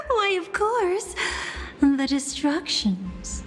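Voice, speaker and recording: A woman playing a seductive, mocking villainess in a video game, a capricious traitor who relishes destruction and speaks in teasing, theatrical, drawn-out phrases. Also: extremely high pitch, huge pitch swings, a bit bright, heavily acted. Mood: coy amusement. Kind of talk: dramatic video game voice acting. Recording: long reverb, game music behind.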